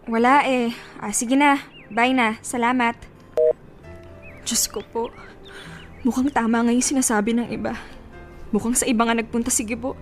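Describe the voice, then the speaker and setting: A woman's voice: a little high, steady tone, normal speed, pauses often, soft background music, lightly acted. Actress as a betrayed pregnant young woman. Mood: worried. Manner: accusing, escalates to shouting and crying. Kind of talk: radio drama acting.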